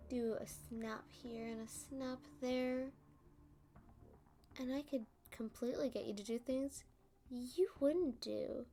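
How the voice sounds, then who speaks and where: Sassy female supervillain for ASMR roleplay, acted by a woman: high-pitched, unhurried, smugly taunting. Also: a little mellow. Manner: teasing, taunting, drawn-out delivery. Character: playful, sassy, smug young female supervillain.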